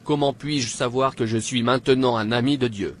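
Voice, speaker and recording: Man acting cartoon king, fervent convert, reverent, earnest, medium-pitched voice, faint noise. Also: steady tone, moderate pace, natural voice. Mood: earnest, seeking. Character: pagan king, a meek and fervent new convert. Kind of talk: scripted cartoon dialogue.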